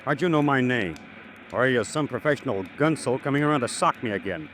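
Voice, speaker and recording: A man playing a hot-tempered, defensive fellow in a 1950s radio drama, with halting bluster. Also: medium pitch, animated, a bit bright, a little hiss or hum, natural voice. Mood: defensive, angry.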